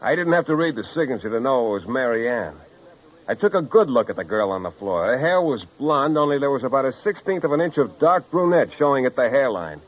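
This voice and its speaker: A man's voice: medium pitch, very animated, brisk, natural voice. Man playing hard-boiled private detective, wisecracking, slangy, sardonic, mid-range voice, dull vintage radio recording. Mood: brooding, wry. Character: wry, tough male private detective, world-weary and sardonic. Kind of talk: 1950s radio detective drama acting and first-person narration.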